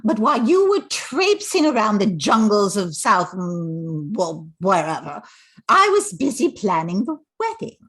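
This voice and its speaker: An older woman playing a genteel, meddling society mother in a radio comedy play, coaxing with theatrical, drawn-out phrases. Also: mid-range voice, big pitch swings, fairly bright tone, husky, exaggerated voice. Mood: coaxing, insistent.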